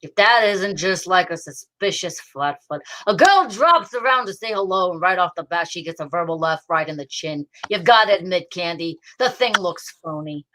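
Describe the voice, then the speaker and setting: A woman's voice: on the low side, expressive, moderate pace, hardly any pauses, sharp tone. A woman playing a world-weary, wisecracking male homicide inspector in an old-time radio detective drama style. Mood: sardonic. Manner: sardonic, hard-boiled patter. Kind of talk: 1940s radio detective acting.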